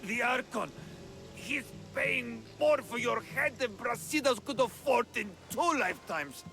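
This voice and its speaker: A man's voice: very high-pitched, lively intonation, measured pace, gravelly, sharp tone, soft background music, exaggerated voice. An actor playing a cowardly, scheming male bounty hunter in a game cutscene who sneers and then begs under threat. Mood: sneering.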